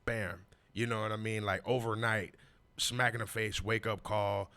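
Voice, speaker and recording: A man's voice: on the low side, little pitch movement, normal speed, pushed and bright, smooth. A man, telling his story as a podcast guest in emphatic, slang-heavy casual talk. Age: 40s. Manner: emphatic, repetitive street slang, frequent "you know what I mean".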